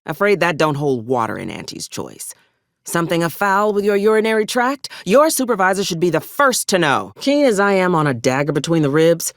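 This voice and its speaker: A woman's voice: a bass voice, no room sound, clean studio sound. A woman playing a ruthless, wry military commander in a video game, speaking in a clipped, folksy and old-fashioned soldierly manner with sardonic menace. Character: ruthless, wry female military officer, cold pragmatic commander. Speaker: acquisitions officer character in a video game. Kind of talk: scripted video game character dialogue.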